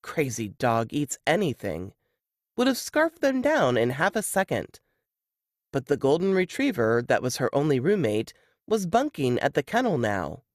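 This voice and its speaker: Woman reading fiction with lively intonation. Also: extremely low pitch, normal speed, no echo, studio quality. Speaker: narrator reading a novel excerpt. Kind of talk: expressive audiobook-style narration.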